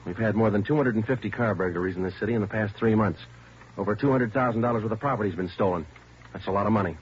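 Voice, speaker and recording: An actor playing a terse police detective interrogating suspects in a 1950s radio drama, clipped and matter-of-fact. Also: male voice, lowish voice, racing, close to an everyday voice. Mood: firm. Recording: dull old radio broadcast with faint hiss.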